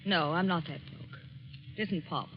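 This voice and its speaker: A woman's voice: lowish voice, brisk, background noise, lightly acted. An actress playing a despairing young woman, guarded, curt and defiant, in 1940s radio thriller style. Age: young adult. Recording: muffled 1940s radio broadcast recording.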